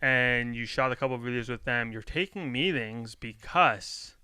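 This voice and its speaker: Bright, smooth-voiced podcast host. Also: male voice, mid-range voice, dry recording. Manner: upbeat, chatty, quick asides. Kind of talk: casual interview.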